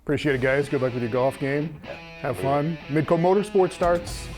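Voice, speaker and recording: A man's voice: a little high, normal speed, music in the background. A man hosting a sports talk show, moving between casual chat and presenting to viewers.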